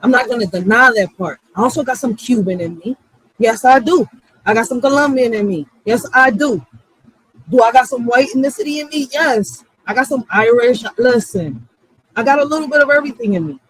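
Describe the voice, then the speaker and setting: Fired-up high-pitched woman, blunt and streetwise. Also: brisk, breathy edge, dry recording, poor audio. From Puerto Rico or Puerto Rican background. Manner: blunt, emphatic, street slang, repeats phrases for stress. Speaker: channel host. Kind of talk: heated, profane casual monologue.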